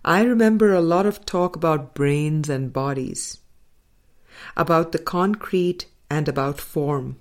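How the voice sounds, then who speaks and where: A woman narrating a spiritual audiobook from a script, in measured, deliberate phrases with frequent pauses. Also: low-pitched, darkish tone. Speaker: audiobook reader.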